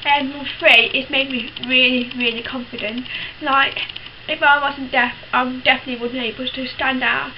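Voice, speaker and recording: A woman's voice: sits high, flat delivery, normal speed. A young woman in her teens talking casually to camera, frank and chatty, with lots of fillers and restarts. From United Kingdom. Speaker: vlogger. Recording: dull lo-fi sound with clear background hiss.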